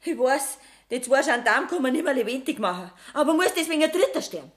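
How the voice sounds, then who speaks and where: Defiant Bavarian mother, higher-pitched voice. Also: expressive, quick pace. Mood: pleading, reproachful. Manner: blunt, accusing, earthy. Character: defiant, embittered poor Bavarian mother of a condemned convict. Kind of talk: 1970s German radio play acting.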